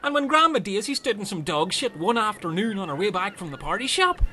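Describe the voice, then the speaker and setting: A man's voice: high-pitched, sing-song, fairly quick, bright, forceful tone, slight background noise, heavily acted. A man playing a dim, chatty, earnest Irish castaway in a comedy radio play, rambling and taking things literally. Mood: chatty, matter-of-fact.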